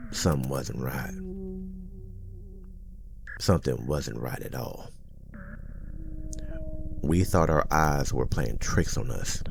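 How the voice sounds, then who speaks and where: Man acting as older small-town man, bottomless bass, slow drawn-out pace, folksy, haunted, ASMR horror storytelling, dramatic pauses. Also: exaggerated voice. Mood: uneasy, grave. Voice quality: very deep, gravelly. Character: older small-town man recounting an eerie secret past.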